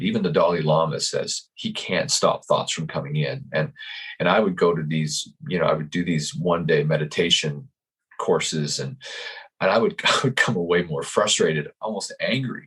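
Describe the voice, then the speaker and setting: A man with a very low voice and a husky, breathy edge talks slowly through his thoughts over a video call. He is in his fifties, calm and reflective, and often stops to restart a sentence or repeat a word. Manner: calm, searching, many restarts and repeated words. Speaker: podcast guest. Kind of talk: reflective interview talk.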